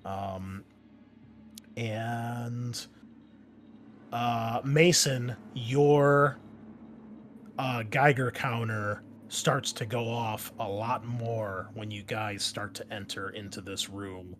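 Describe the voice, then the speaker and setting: A man's voice: medium pitch, steady tone, drawn-out words. A man narrating a tabletop roleplaying game as game master, explaining things in a conversational way. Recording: clear background noise and ambience.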